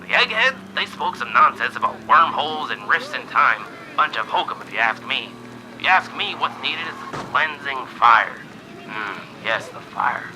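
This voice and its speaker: A man's voice: medium pitch, big pitch swings, moderate pace, background noise. A man voicing a fanatical, fire-obsessed army general in a radio play, ranting zealously down an old telephone line. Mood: fervent.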